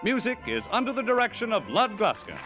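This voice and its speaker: A vintage radio announcer man with a smooth, polished, emphatic delivery. His slightly high voice swoops lively, and music plays clearly behind him. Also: fairly quick.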